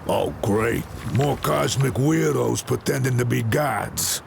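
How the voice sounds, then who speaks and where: An actor playing a gruff, unimpressed hero in a video game, dry and sarcastic. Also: male voice, medium pitch, slow, background noise. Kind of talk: video game character lines.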